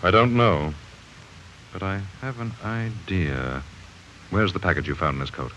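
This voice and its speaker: An actor playing a calm, thoughtful doctor who speaks in a measured, grave way, in 1940s radio drama style. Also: male voice, deep voice, slight background noise. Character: calm, thoughtful middle-aged male doctor. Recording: muffled 1940s radio broadcast.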